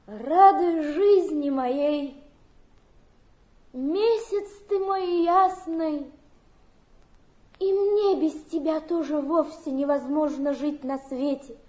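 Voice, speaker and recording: An actress playing an overwrought, dreamy, tearful young fallen woman in a stage drama, with a drawn-out melodramatic delivery. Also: high voice, warm tone. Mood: tearful, fervent. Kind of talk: theatrical stage acting. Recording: archive stage drama recording.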